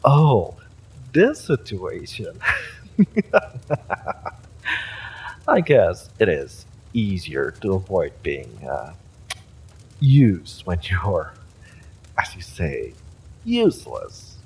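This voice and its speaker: Guy doing an evil sorcerer, low voice, slow and smug, taunting fantasy villain stuff. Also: wildly swooping pitch, darkish tone, breathy edge, exaggerated voice. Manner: taunting, theatrical, drawn-out delivery with sinister chuckles. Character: evil male sorcerer warlord, mocking, menacing, smugly courteous. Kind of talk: fantasy audio roleplay acting.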